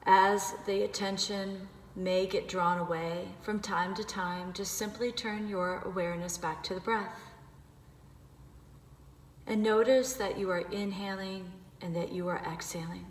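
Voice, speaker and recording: A woman's voice: medium pitch, fairly even intonation. Woman guiding meditation in an echoey room. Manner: gentle, unhurried instruction with pauses. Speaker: meditation guide. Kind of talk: guided meditation. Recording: echoey reverberant room with background noise.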